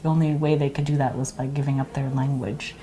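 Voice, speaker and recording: A woman from Nova Scotia reflecting in an interview, thoughtful and hesitant with many pauses. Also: very deep voice, flat delivery, mellow.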